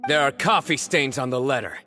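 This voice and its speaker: An actor voicing a stern young male judge in a game, calm and deductive in a measured way. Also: mid-range voice, soft background music, natural voice. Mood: accusing.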